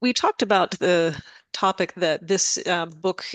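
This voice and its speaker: An interviewer asking long, measured and polite questions of an author. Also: medium pitch, a bit bright, no echo.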